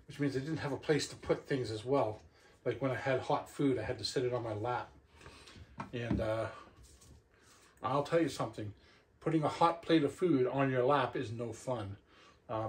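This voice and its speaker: A middle-aged man giving a casual hands-on unboxing review, talking half to himself as he handles the gear in a living room. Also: medium pitch. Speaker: product reviewer. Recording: clicks and clear background noise.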